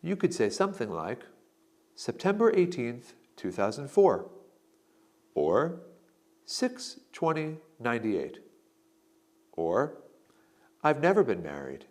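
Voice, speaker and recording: A man narrating an English lesson, reading out model answers slowly and deliberately. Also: medium pitch, huge pitch swings, a little mellow. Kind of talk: slow instructional model answers.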